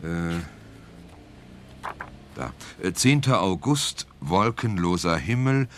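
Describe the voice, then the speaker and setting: Detective's client in a radio play: low voice, earnest and hesitant, explains things precisely. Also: male voice, very animated, slow pace, heavily acted. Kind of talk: scripted radio play dialogue.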